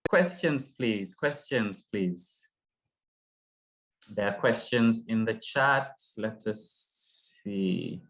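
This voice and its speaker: A middle-aged man from Uganda moderating an online panel, speaking haltingly with many fillers and restarts over a video call. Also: on the low side, lively intonation, a bit bright. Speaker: session moderator.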